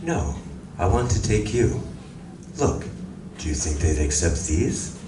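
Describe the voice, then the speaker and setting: A man's voice: low voice, big pitch swings, heavily acted. A man acting a mild, curious visitor from another planet in a stage radio drama, speaking politely and formally. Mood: gentle, curious. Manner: polite, measured, formal phrasing. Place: community room of a public library. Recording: roomy, distant, noisy live library recording.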